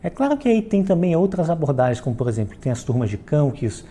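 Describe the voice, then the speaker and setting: A man's voice: fairly high pitch, very animated, fast, mellow, slight background noise. A Brazilian man from Salvador giving an explanatory question-and-answer talk as a franchise founder, in a didactic way with frequent check-in tags. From Salvador, Bahia, Brazil.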